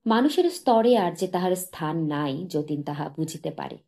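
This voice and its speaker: A woman narrating a literary short story as an audiobook, in a measured, dramatic reading manner. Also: low-pitched, expressive, mellow.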